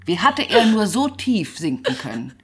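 An actress playing a weary, relentless police detective who also narrates in a radio crime drama, intense and often dropping to a whisper. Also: female voice, mid-range voice, big pitch swings, normal speed, fairly bright tone, husky, heavily acted. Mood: pressing, accusing.

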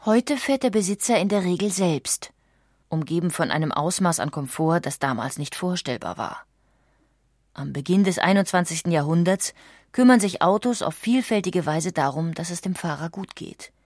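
A woman reading scripted documentary narration in a measured, articulate way. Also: lowish voice, expressive, darkish tone, dry recording, clean studio sound. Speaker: audiobook narrator.

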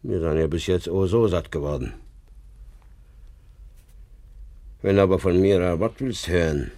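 A man's voice: brisk, mellow, no room sound. An actor playing a proud, stern old village father in a radio play, with an emphatic, hot-tempered way of talking. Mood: defiant, angry. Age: older. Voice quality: husky, very deep. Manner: emphatic, agitated, swinging from muttering to outbursts. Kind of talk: naturalistic German radio play acting.

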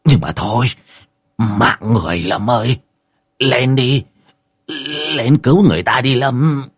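A man's voice: mid-range voice, unhurried, slightly rough, no room sound, clean studio sound. A man voicing a cowardly old man in a comic horror story, muttering and repeating his words hesitantly. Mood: nervous urging. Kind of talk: comic horror audiobook acting.

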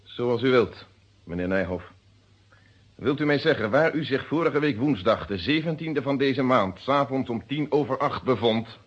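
A husky, methodical police inspector, lo-fi radio drama. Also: male voice, medium pitch, lively intonation. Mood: grave. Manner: formal, precise, deliberate phrasing. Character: methodical, unyielding police inspector questioning his own friend. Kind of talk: Dutch detective radio drama acting. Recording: dull lo-fi old radio recording.

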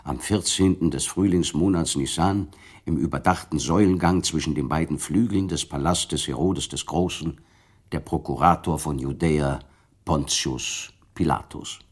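A man narrating a literary radio drama in a measured, solemn storytelling manner. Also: very deep voice, breathy edge.

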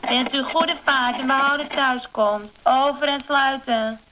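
An actress playing a stiff, by-the-book dispatcher over a walkie-talkie in a radio play. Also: female voice, high voice, steady tone, unhurried. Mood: formal. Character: formal, earnest female radio dispatcher at headquarters. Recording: noisy walkie-talkie transmission.

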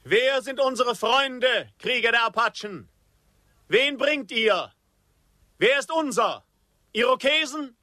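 A rallying Apache war leader in a radio drama, solemn and declamatory. His voice is strikingly high and sharp, and every phrase comes slowly and deliberately. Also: lively intonation, no room sound, studio quality, heavily acted. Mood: solemn questioning. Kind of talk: German radio drama acting.

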